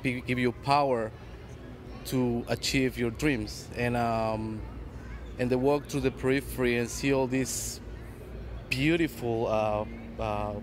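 A man in his thirties from New Jersey talking enthusiastically in a park interview, with many uhs and repeated words, into a handheld mic. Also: mid-range voice. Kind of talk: casual outdoor interview. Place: outdoors in a park. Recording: clear outdoor background noise.

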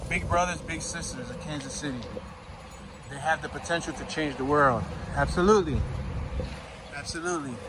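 A man's voice: fairly high pitch, moderate pace, long pauses. A man in his early thirties giving a casual walking tour, speaking informally and reading out signs and street names as he goes. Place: city sidewalk outdoors, while walking. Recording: outdoor street traffic and ambient noise.

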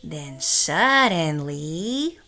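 A woman's voice: low-pitched, sing-song, drawn-out words, bright, forceful tone. A middle-aged woman reading a children's picture book aloud, with careful, emphatic phrasing for young listeners. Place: small room at home, indoors.

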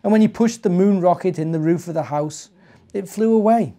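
A British man in his forties reading a children's storybook expressively and deliberately, as if to young listeners. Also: high-pitched, warm tone. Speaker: church minister reading stories to children. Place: living room, indoors.

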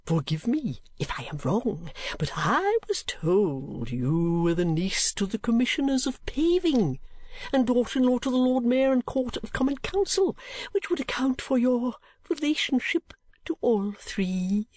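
A woman voicing an eccentric, courtly old gentleman in a storybook, with pompous, grandiose phrasing. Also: medium pitch, sing-song, dry recording, voice pushed up. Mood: anxious. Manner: florid, pompous, drawn-out phrasing.

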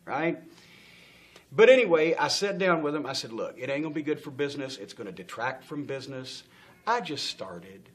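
A man's voice: mid-range voice, lively intonation, normal speed. A middle-aged man telling a long backstage story in a studio interview, acting out the people he quotes, swearing freely and laughing often. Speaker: interview guest. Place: indoor studio set with stage lights.

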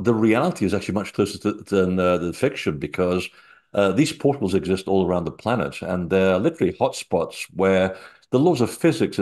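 A man's voice: low voice, darkish tone. A middle-aged man from Portugal with a mixed Portuguese, British and North American accent, talking animatedly with many fillers on a video call. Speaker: guest researcher.

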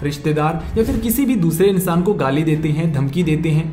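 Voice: medium pitch, expressive, a little fast, dark tone, roomy sound. A narrator presenting a scripted explainer in a conversational way, addressing viewers like friends and mixing in English words. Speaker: video host, narrator. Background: background music.

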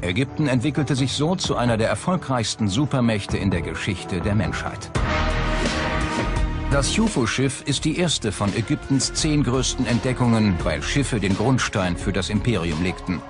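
A man reading scripted documentary narration in a measured, factual manner. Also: medium pitch. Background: background music.

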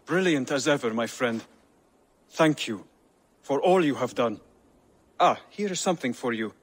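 A man playing an earnest, courteous young scholar-assassin in a video game, speaking gently and carefully. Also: mid-range voice, lively intonation, moderate pace, slightly rough. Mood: warm, helpful. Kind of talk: video game cutscene acting.

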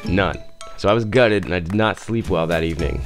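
Male vlog host, deep voice, quick scripted narration over music. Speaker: host, student pilot.

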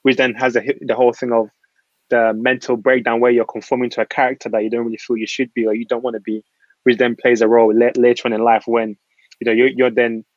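A man's voice: mid-range voice, little pitch movement, fast talker, dry recording. A man who grew up in Ghana, speaking earnestly on a panel over a video call, with lots of filler phrases. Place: small room at home. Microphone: laptop webcam on a video call. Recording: muffled video-call audio.

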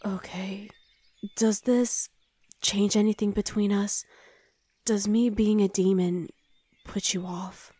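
A woman playing a flustered, gentle young demon hell knight in an intimate ASMR roleplay, hesitant and soothing, often slipping into a breathy whisper. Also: medium pitch, fairly even intonation, slow, pauses often, no room sound. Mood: reassuring, hesitant.

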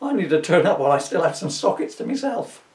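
A man voicing an irritable, snappy supervillain with a growling, yelling manner in a comic storybook reading. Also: high voice, very animated, fast, roomy sound, far from the mic, voice pushed up. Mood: exasperated yelling. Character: villain Electrode, irritable, yelling human light bulb.

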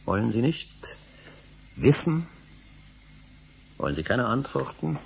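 A man's voice: medium pitch, sing-song, slow, gravelly, breathy edge, full character voice. An actor playing an obsessive middle-aged male scholar in a German radio drama, speaking emphatically and rhetorically. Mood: urgent. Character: obsessive older male scholar, insistent and indignant.

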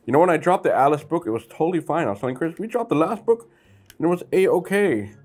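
A man's voice: a little high, lively intonation, fast talker, darkish tone. Laid-back chatty man reviewing brick toys. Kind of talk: casual review vlog.

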